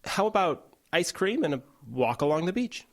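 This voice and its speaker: A man's voice: on the high side, sing-song, normal speed. A man playing a practical, laid-back station technician in an audio drama, matter-of-fact and dry. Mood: wry, advising.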